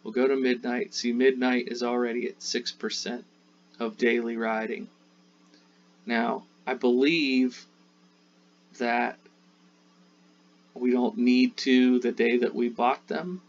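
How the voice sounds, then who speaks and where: A man hosting a casual gameplay tutorial, chatting in a relaxed way as he plays, with game sounds faintly behind. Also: mid-range voice, takes it slowly, pauses often, muffled recording, slight background noise. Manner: relaxed, chatty, talks while playing. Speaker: gaming channel host.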